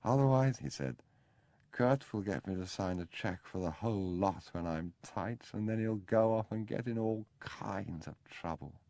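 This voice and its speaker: A man reading an audiobook, voicing a frail young gentleman who speaks softly and languidly with gentle self-mockery. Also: fairly low pitch, lively intonation, normal speed, no room sound, voice pushed up. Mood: wistful.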